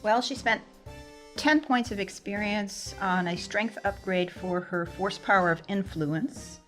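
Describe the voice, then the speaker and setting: A woman's voice: medium pitch, a little slow, a bit bright, faint music behind. A woman talking casually as a player on a tabletop roleplaying podcast. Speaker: player on an actual-play podcast. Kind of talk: casual tabletop game chat.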